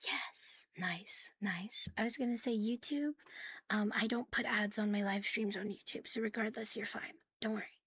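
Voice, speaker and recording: A woman chatting casually to viewers on an ASMR livestream, soft and breathy with a playful, cutesy manner, often dropping to a whisper close to the mic. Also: mid-range voice, normal speed, no echo. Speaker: ASMR live streamer hosting in a virtual world. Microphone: close, sensitive streaming microphone.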